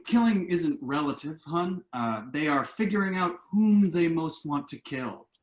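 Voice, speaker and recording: A man's voice: a little high, animated, a little slow. Guy playing a wry, pedantic grown son in a play reading, dry delivery, really echoey room. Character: wry, discouraged adult son and husband, pedantic. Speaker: actor in a virtual table read. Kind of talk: stage comedy-drama table read. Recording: echoey room on online call.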